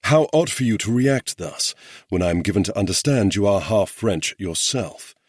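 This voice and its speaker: A cool, unflappable English officer speaking smoothly in a bland drawing-room manner, as a male narrator puts him on. The voice sits higher than the reader's own and sounds husky. It is dry and close. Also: lively intonation, moderate pace, no room sound.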